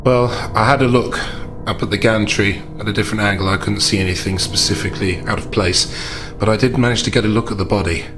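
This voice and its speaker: Man playing measured, low-voiced gentleman investigator. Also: fairly even intonation, music in the background, close to an everyday voice. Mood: measured, grave. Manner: deliberate, understated, reasoning aloud. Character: measured, analytical gentleman investigator, calm and macabre.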